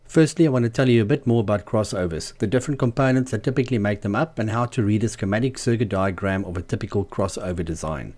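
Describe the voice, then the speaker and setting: Man, low pitch, dark relaxed tone, measured pacing of explanations, scripted instructional voice-over. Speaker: host of a DIY loudspeaker channel.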